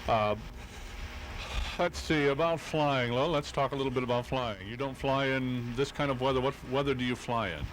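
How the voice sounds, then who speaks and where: A man's voice: mid-range voice, moderate pace, a little hiss or hum, low-quality recording. A man hosting a casual live radio interview, asking questions in an informal, inquisitive way. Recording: home-taped live radio, background bustle.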